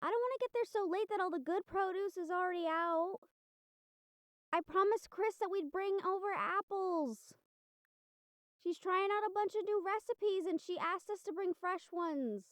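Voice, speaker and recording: A young woman playing a bubbly, encouraging college student in a casual, chatty, expressive roleplay audio drama. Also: extremely high pitch, moderate pace, no echo, clean studio sound. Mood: coaxing, impatient. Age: young adult. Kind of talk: roleplay audio drama acting, speaking to listener.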